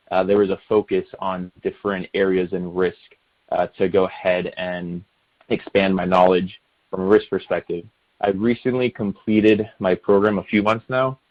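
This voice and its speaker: Young guy with a really deep voice on a muffled dry call, kinda formal. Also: dry recording. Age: 20s. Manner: measured, formal phrasing. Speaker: webinar panelist, financial crimes associate. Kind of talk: prepared panel introduction. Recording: dull dry lo-fi call audio.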